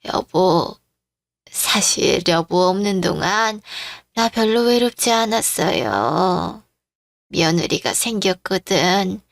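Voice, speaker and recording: A woman's voice: fairly low pitch, animated, takes it slowly, creaky, dry recording, clean studio sound, full character voice. A woman playing a frail, confused elderly mother-in-law, tender and longing, in a radio-drama style story. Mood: tender, dreamy.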